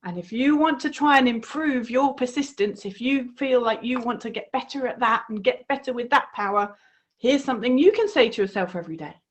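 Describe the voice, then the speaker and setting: A woman reading her children's storybook aloud in an expressive, encouraging way. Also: fairly high pitch. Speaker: author reading her own book.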